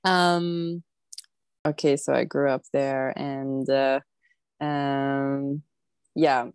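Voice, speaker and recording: A woman from southern Sweden, a podcast guest talking casually from home over an online call, thoughtful and unhurried, often pausing to think. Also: very deep voice, little pitch movement, a little mellow, clean tone, no echo, clean studio sound. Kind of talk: casual conversational interview. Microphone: remote online call.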